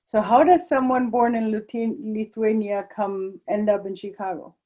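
A woman's voice: mid-range voice, fairly even intonation, moderate pace, mellow, highs cut off. A woman hosting a podcast, talking enthusiastically and laughing often. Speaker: podcast host. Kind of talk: podcast interview.

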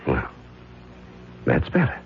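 An actor playing a tall magistrate who is secretly a killer, brooding and self-justifying, in theatrical 1970s radio drama style. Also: male voice, slow, a little hiss or hum, lightly acted. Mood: wounded, insinuating. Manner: theatrical, brooding, insinuating questions. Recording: dull 1970s radio recording.

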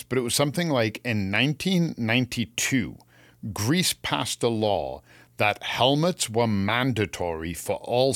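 A man's voice: on the low side, no room sound. A man with a Canadian accent chatting casually as a show co-host, telling stories and acting out what people would say. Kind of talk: casual chat.

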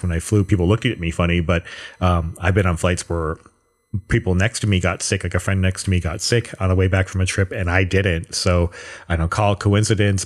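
A man with a fairly low voice co-hosts a podcast in relaxed, casual chat. He rambles, restarts his sentences and drops in joking asides. He talks into a desk mic angled up toward his mouth. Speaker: podcast co-host. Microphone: desk mic pointed upward at his mouth.

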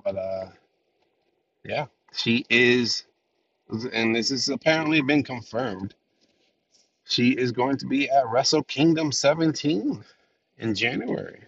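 A man with a lowish, gravelly voice rambles through his solo podcast with plenty of fillers, sounding nasal from a cold that hasn't cleared. The recording is dull and lo-fi, with him set back from the mic and a faint hum behind him. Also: a bit bright, recorded from a distance. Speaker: podcast host. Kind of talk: casual solo podcast talk.

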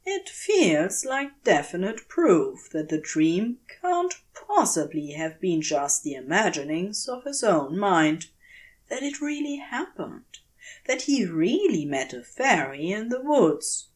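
A woman narrating scripted fiction in a measured, dramatic storytelling manner. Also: medium pitch. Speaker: audiobook narrator.

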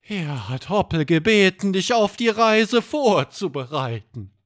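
An excitable talking horse from a children's story. The voice jumps up high and swings widely in pitch. It is a humble, reverent creature that speaks in dramatic exclamations, stretching out its words. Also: male voice, a little slow, dry recording, clean studio sound. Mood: earnest. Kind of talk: children's bedtime story character acting.

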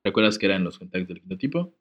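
A man's voice: low-pitched, big pitch swings, very fast, fairly bright tone, dull audio. A man co-hosting a podcast, reading a letter aloud and breaking off into jokes and laughter. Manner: joking, laughs often, reads with comic asides. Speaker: podcast co-host.

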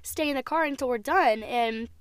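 Young woman on a podcast in her car, hoarse from a cold, kinda high voice, talks fast n restarts a lot. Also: crowd noise. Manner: chatty, laughing, restarts words often. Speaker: podcast co-host. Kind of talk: casual chat. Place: parked car.

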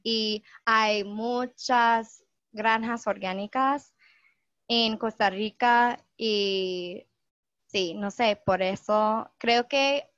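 A young woman whose first language is English, talking slowly and thoughtfully in learned Spanish as a podcast guest, with many "am" fillers and restarts. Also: mid-range voice, fairly bright tone, no room sound. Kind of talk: casual conversation in learned Spanish. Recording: dull, dry lo-fi recording.

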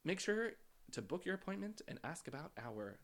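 A man's voice: high voice, very animated, moderate pace. A man co-hosting a podcast, reading an ad and teasing his partner in casual chat. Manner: playful, teasing. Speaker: podcast co-host.

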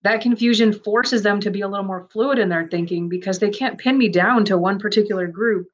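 A woman narrating a man's first-person story aloud, in a measured, reflective and earnest way. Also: medium pitch, muffled recording.

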